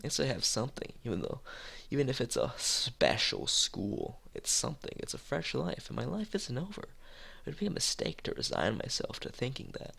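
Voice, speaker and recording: A man's voice: medium pitch, wildly swooping pitch, normal speed, vocal fry, sharp tone, breathy edge, heavily acted. A man voicing a bitter, shaken teenage boy in a visual novel read-aloud, brooding and resentful, over game music. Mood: resigned, brooding.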